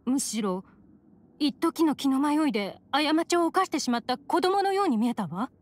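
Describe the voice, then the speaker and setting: A woman playing a gentle, caring, anxious mother in an anime game, speaking softly and politely. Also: sits high, animated, normal speed. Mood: pensive. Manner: soft, polite feminine speech.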